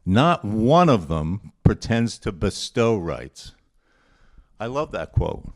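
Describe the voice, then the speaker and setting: Man, lowish pitch, quiz presenter, reading quotes aloud, informal commentary, deliberate and conversational delivery.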